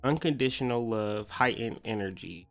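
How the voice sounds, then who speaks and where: A man's voice: mid-range voice, expressive, normal speed, soft background music. An American man hosting a podcast, talking in a loose, slangy, stop-and-start monologue about spiritual ideas. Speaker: podcast host.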